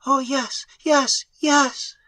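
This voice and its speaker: A woman's voice: mid-range voice, animated, measured pace, no room sound. A woman voicing a starving young woman held captive in a dungeon, speaking weakly and pleadingly in a dramatized audiobook. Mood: frantic joy. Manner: pleading, weak, then frantic with hope.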